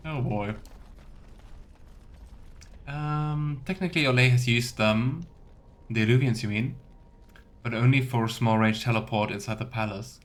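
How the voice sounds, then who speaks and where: A man's voice: mid-range voice, measured pace, long pauses. A man giving casual, musing let's play commentary with wry teasing asides. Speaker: game streamer.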